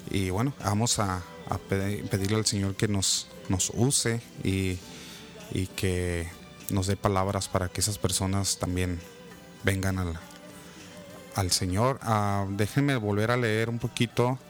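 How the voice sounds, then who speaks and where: Man, radio host, low-ish voice, relaxed chatty, faint music underneath. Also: measured pace. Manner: relaxed, chatty, religious asides. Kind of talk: live radio interview.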